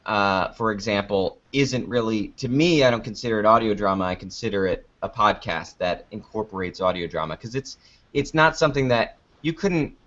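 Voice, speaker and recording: A man's voice: on the low side, moderate pace, fairly bright tone. A man giving casual, rambling interview answers as a guest, informal and often interrupting himself mid-sentence. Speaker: interview guest, podcast creator. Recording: dull lo-fi online call audio.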